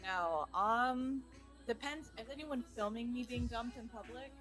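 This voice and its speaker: A woman's voice: on the high side, a little slow, music in the background. A woman chatting casually as a podcast guest, a little hesitant, with dry humor. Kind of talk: casual chat.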